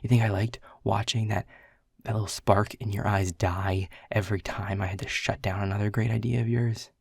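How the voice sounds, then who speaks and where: A man playing a gruff, weary boss. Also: on the low side, level tone, moderate pace, no room sound, studio quality. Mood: frustrated. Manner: blunt, swearing, half-whispered confession. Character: world-weary gruff male middle manager. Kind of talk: audio roleplay acting.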